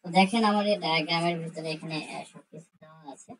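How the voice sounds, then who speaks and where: A woman giving an informal technical tutorial, explaining step by step in a halting, repetitive way. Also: low-pitched, steady tone, rough recording. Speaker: tutorial host.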